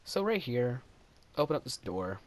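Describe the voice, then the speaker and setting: A man's voice: mid-range voice, sing-song, moderate pace. A young man casually commenting over his own gameplay footage, rambling and self-deprecating, often laughing at his own jokes.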